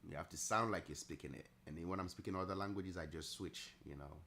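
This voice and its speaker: A man with a low voice and a mixed Nigerian-Italian accent hosts a live stream in an easygoing, chatty way. He thinks aloud as he talks and laughs easily. A faint hiss of noise sits underneath. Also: quick pace, bright, forceful tone. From Nigeria, raised partly in Italy. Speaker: trading livestream host. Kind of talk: casual live-stream chat answering viewers.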